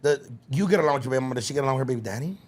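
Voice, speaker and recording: A man's voice: medium pitch, lively intonation, very fast, darkish tone. A man co-hosting a podcast in casual chat, teasing and joking with heavy swearing. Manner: teasing, profane, jokey. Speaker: podcast co-host.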